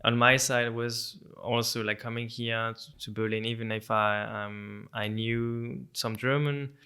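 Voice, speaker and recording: Bright, clear-voiced French man. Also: medium pitch, fairly even intonation, measured pace. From France (Alsace). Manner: hesitant, many fillers and restarts. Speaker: videographer guest. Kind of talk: casual chat.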